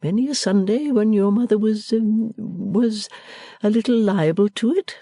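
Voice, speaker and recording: A woman reading the part of a timid, fond little father who speaks gently and hesitantly, rambling at times. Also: medium pitch, measured pace, no room sound, clean studio sound, voice pushed up. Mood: musing.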